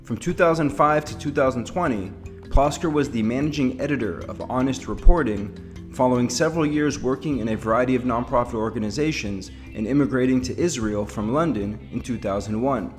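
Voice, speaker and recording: A male podcast host reads a scripted introduction for his guest in a smooth, even delivery. His voice sits at a middling pitch for a man, and a faint guitar plays beneath the words. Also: a little fast.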